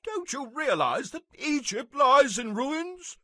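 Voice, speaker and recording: Man playing a very high-pitched pleading courtier. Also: very animated, slow, pushed and bright, no room sound, clean studio sound, exaggerated voice. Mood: desperate pleading. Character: desperate, pleading male royal court official. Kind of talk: audio Bible drama acting.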